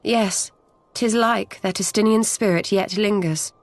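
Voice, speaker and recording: A woman voicing a wise, composed sorceress in a game cutscene, speaking in measured, solemn, archaic phrases. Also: medium pitch, fairly even intonation, dry recording, faint music behind, natural voice. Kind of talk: video game cutscene acting.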